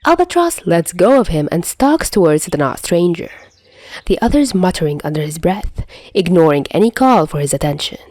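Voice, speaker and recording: A woman's voice: lowish voice, big pitch swings, moderate pace, a little mellow, no room sound. A woman reading a scripted story as an amateur audiobook narrator. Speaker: amateur fan fiction narrator.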